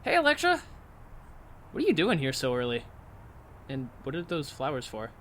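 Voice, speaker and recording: A man's voice: fairly high pitch, huge pitch swings, normal speed, fairly bright tone. An actor playing an awkward, easygoing young man, hesitant and rambling, in an audio drama. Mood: friendly. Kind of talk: naturalistic audio drama acting. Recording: clear ambient noise.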